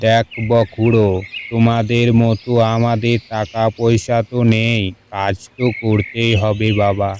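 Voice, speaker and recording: A man playing a gentle, protective old village grandfather in a cartoon, plain and fatherly. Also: medium pitch, completely flat delivery, a little slow, a little hiss or hum, lightly acted. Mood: patient.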